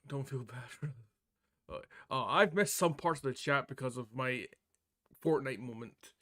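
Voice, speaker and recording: A man's voice: medium pitch, a little slow, a bit bright. A man chatting casually on a livestream as he plays a game and talks to viewers. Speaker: game streamer. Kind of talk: casual livestream chat while playing.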